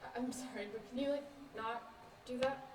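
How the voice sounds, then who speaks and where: A woman acting a polite young woman, tentative, a bit testy, highish voice, student stage drama, noisy recording. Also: steady tone, moderate pace, lightly acted. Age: young adult. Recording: echoey, noisy room from far off.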